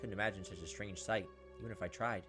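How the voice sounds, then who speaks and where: A man's voice: fairly low pitch, steady tone, fast talker. A man hosting a gaming video, reading visual novel text aloud dramatically with joking, exasperated asides. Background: game music and cricket sounds.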